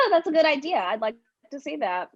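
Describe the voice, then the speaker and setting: Overachieving teen girl, played by a woman, animated, highish voice, assertive, stage reading, muffled recording. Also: normal speed. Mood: prickly. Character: studious, proud, impatient fourteen-year-old girl, high achiever. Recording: dull lo-fi recording.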